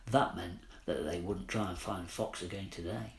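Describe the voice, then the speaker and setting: A man's voice: deep voice, fairly even intonation, moderate pace. A man narrating a children's audiobook with dramatic storytelling, recorded in a roomy space. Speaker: author narrating own audiobook. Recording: roomy, faint background noise.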